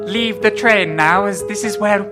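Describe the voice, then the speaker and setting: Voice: mid-range voice, a little slow, loud music behind the voice, close to an everyday voice. A performer improvising a comedy radio play as a polite, firm train official with a formal, courteous manner.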